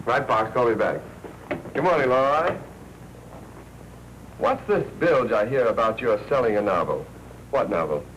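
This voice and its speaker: Brusque American newspaper boss played by a man, wry patter, animated pitch, noisy vintage film soundtrack. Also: lightly acted. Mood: sardonic. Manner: brusque, wry, fast-talking newsroom patter. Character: brisk, hard-nosed male newspaper managing editor. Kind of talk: 1940s film noir acting.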